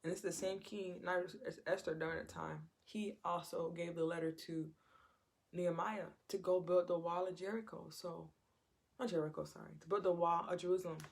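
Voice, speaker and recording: A woman's voice: on the low side, steady tone, moderate pace, gravelly. A woman giving an informal Bible teaching in a conversational way, repeating her phrases and acting out quotes. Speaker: preacher.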